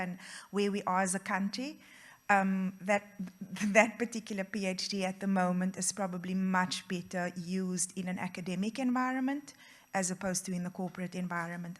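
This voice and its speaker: Low-pitched woman, panel guest. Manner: thoughtful, hesitant with many fillers. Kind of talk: panel discussion answer. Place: event venue.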